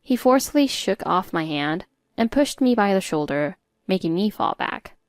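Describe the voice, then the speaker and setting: A woman reading a scripted first-person story in a measured, articulate way. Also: mid-range voice, animated, no room sound, studio quality. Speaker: story narrator.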